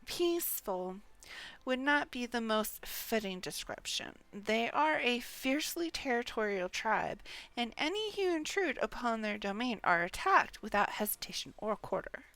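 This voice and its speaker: A woman's voice: a little high, very animated, measured pace, a bit bright, dry recording, exaggerated voice, low-quality recording. A woman voicing a composed noblewoman from a fantasy game, stately and formal in her phrasing. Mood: measured, explanatory.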